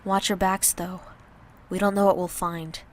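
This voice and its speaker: Terse cautious survivor, someone acting, audio drama, middling pitch, faint noise. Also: flat delivery, moderate pace, lightly acted. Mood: guarded.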